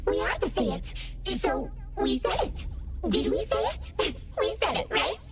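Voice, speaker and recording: Eerie game flower spirit, theatrical, singsong, very slow-drawn delivery, high register, prominent music. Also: female voice, huge pitch swings, full character voice. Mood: manic. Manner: theatrical, repeating words in singsong. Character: eerie female flower spirit, servant of a dead master. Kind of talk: video game voice acting.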